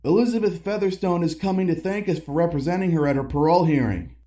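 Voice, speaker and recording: An actor playing a worried, long-suffering husband of a secret superheroine in an audio comedy drama. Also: male voice, fairly high pitch, fast talker, warm tone, dry recording, natural voice. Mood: dry.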